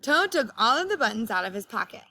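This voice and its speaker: A woman reading a storybook aloud like a teacher, speaking clearly to young listeners. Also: on the high side, big pitch swings, fast talker, bright, forceful tone, a little hiss or hum. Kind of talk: children's storybook read-aloud.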